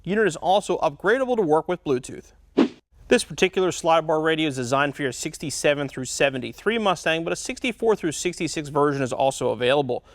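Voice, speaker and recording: Higher-pitched man giving a tutorial. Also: lively intonation, fast, no echo, studio quality. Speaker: host of car parts installation tutorial. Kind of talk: instructional product demonstration.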